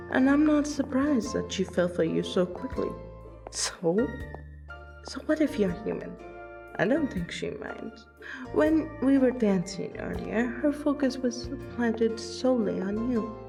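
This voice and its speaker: A fairly high-voiced vampire woman played by an actress talks to her close friend in an audio roleplay. She is teasing and affectionate, with an unhurried delivery and dramatic pauses. Soft music plays behind her. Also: vocal fry, heavily acted. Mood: wistful, tender.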